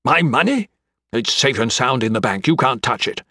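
A man voicing a shifty, defensive lowlife in an audiobook, with rough, rustic speech. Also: expressive, moderate pace, bright, forceful tone, no room sound, studio quality. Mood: defiant. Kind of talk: dramatic audiobook character acting.

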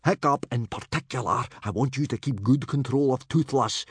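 A man's voice: medium pitch, normal speed, breathy edge, no room sound, voice pushed up. A man voicing a bossy, blustering Viking instructor, commanding and exasperated, in an audiobook story. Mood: hushed, orderly.

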